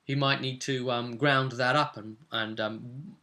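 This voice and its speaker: A man speaking as game master, casually recapping a role-play session in a halting, emphatic way with repeated phrases. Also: medium pitch, steady tone, sharp tone.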